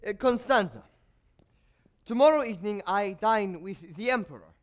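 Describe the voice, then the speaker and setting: An actor in a stage play voicing a scheming, lecherous older court composer with formal, courtly, insinuating phrasing. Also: male voice, sits high, expressive, normal speed. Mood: sly, insinuating. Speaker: stage actor in a school one-act play. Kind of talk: theatrical stage period drama acting.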